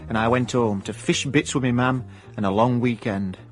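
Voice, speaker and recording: An actor with a South Yorkshire accent playing a hapless ex-miner turned private investigator in a radio comedy-drama, deadpan and mock hard-boiled. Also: male voice, mid-range voice, normal speed, soft background music, close to an everyday voice. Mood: wry narration. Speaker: narrator and lead actor. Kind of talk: radio comedy-drama with first-person narration.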